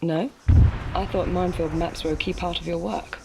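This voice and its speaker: A woman's voice: lowish voice, fairly even intonation, normal speed, vocal fry, noisy background. A woman voicing an inquisitive journalist who asks polite but pointed questions in a game cutscene. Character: inquisitive female journalist, persistent and precise. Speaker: journalist interviewer. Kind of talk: voiced game cutscene dialogue.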